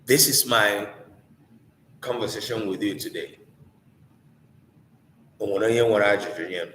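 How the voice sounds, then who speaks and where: A man's voice: lowish voice, moderate pace, a bit bright. Echoey Nigerian Igbo man teaching. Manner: earnest, exhorting, with rhetorical questions. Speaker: Igbo language teacher hosting a live online class. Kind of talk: informal live teaching, switching between English and Igbo. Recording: echoey room with long reverb.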